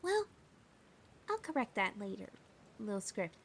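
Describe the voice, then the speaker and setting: A woman narrating a fantasy audio drama in a slow, soft, measured way. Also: medium pitch, big pitch swings, slight background noise.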